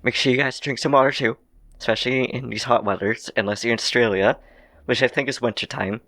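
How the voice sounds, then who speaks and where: A man's voice: mid-range voice, steady tone, quick pace, a bit bright. A young man casually commenting on his gameplay, rambling and correcting himself, with a slightly phlegmy voice. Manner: rambling, self-correcting, laughs often. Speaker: let's play gamer. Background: faint game music.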